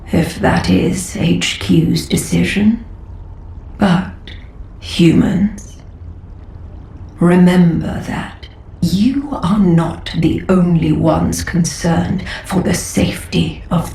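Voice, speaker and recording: A woman playing an ailing, formal female magister of an alien council in a video game cutscene, deliberate and weary with a breathy, gravelly voice. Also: on the low side, mellow, roomy sound, heavily acted, low-quality recording. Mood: cool, warning.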